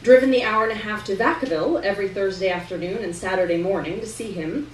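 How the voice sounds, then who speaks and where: A woman giving an expressive first-person reading of a novel chapter at a live event, with dramatic pauses and shifting emphasis. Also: medium pitch. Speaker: reader performing a novel chapter to an audience. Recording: roomy, distant mic, faint noise.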